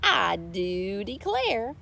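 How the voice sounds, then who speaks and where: A woman voicing a prim, calm female cow in a children's storybook, speaking in a slow, drawn-out folksy drawl. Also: mid-range voice, vocal fry, slight background noise, exaggerated voice. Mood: puzzled.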